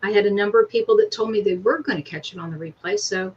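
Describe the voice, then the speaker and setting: A woman's voice: medium pitch, fast talker, husky. A woman speaking casually and conversationally as a guest on an online talk show. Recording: dull, lo-fi call audio.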